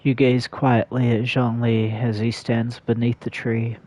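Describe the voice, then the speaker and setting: A man's voice: mid-range voice, little pitch movement, unhurried, darkish tone, slight background noise. A man livestreaming a video game, reading its dialogue aloud and adding casual commentary.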